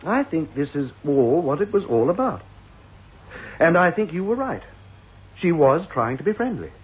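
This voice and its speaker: An actor playing an excitable, plucky young husband in an old-fashioned radio ghost drama, blustering and exclaiming. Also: male voice, a little high, moderate pace, husky. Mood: pleased. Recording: muffled, tinny radio recording.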